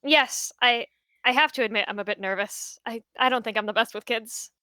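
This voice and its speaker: A woman plays a skittish warrior cat mentor in an animal roleplay game. The voice is bright and fairly high, full of hesitant stammers and gentle apology, recorded very dry. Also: normal speed, clear, resonant tone, no room sound. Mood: nervous.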